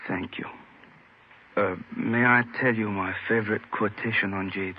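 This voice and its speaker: A man playing a courteous, sentimental Chinese jade dealer in 1950s radio drama, speaking in a formal, measured way. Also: lowish voice, steady tone, a little hiss or hum, lightly acted. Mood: polite, earnest. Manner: formal, measured, deliberate phrasing. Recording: muffled old radio broadcast, phone-like sound.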